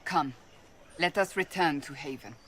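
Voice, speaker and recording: A woman's voice: deep voice, a little slow, a bit bright, a little hiss or hum. A stern, blunt warrior woman in a game cutscene. She speaks in a direct, no-nonsense way.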